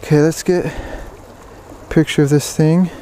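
Higher-voiced, exclamatory man fishing outdoors. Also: steady tone, moderate pace, warm tone, low-quality recording. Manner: exclamatory, repeats words in bursts. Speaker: fishing vlogger. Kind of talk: excited casual commentary while fishing. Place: outdoors beside a stream. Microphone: body-worn action camera. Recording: outdoor water noise behind voice.

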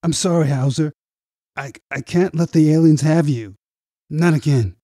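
Man voicing an urgent, higher-pitched loyal soldier buddy. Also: normal speed, mellow, dry recording, studio quality, natural voice. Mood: pleading, scared. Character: loyal, frightened male soldier buddy, pleading.

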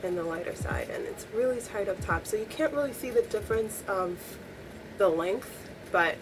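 A young woman with a voice hoarse from a cold, chatting upbeat to camera from a small bedroom. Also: mid-range voice, moderate pace, over music, low-quality recording. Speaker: beauty vlogger. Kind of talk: casual talk to camera.

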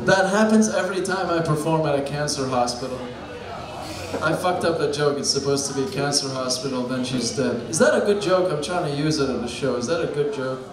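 A young man doing a deadpan stand-up set with dark jokes on a bar stage, talking into a handheld mic. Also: on the high side, brisk. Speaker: stand-up comedian. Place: small bar stage with a live crowd. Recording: echoey room with loud crowd.

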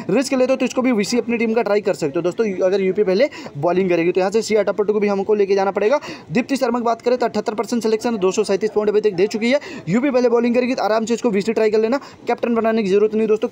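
A man's voice: sits high, mellow. A young man giving an informal fantasy cricket team preview, chatting to viewers like friends and brothers. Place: voice-over on a phone screen recording.

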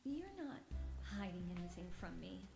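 A woman's voice: lowish voice, very animated, normal speed, vocal fry, a little mellow, soft background music. An actress playing a worried, serious career woman in a game cutscene, speaking hesitantly with an American accent. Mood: worried, probing. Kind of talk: animated video game cutscene acting.

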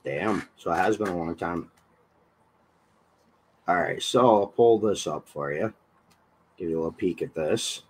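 A young man hosting a casual online radio interview from a small room, his voice hoarse and husky from illness, laid-back and swearing freely. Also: low voice, measured pace, long pauses, slight background noise. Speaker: radio show host. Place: small dim room with colored lights.

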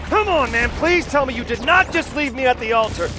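An actor playing a cocky, wisecracking young superpowered hero in a video game, informal and urgent. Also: male voice, extremely high pitch, expressive, brisk, background noise. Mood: worried, pleading. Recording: game music behind the voice.

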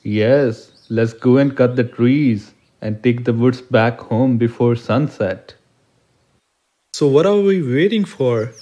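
A greedy, callous young woodcutter from a cartoon moral story , played by a man. He talks in a mellow, middle-pitched voice. His delivery is plain, deliberate and unhurried. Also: highs cut off, lightly acted. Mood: eager. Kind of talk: animated moral story dialogue.

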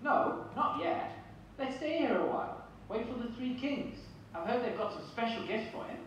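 A woman's voice: fairly high pitch, expressive, moderate pace, close to an everyday voice. A woman voicing an eager, friendly sheep puppet in a scripted show, with projected stage delivery. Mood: excited. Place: church hall, puppet stage, far camera. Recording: very echoey church, distant noisy mic.

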